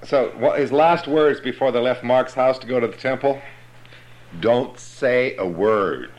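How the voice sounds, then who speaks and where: A man teaching a Bible class in a sermon style, explaining slowly and checking that his listeners understand. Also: medium pitch, darkish tone, rough recording.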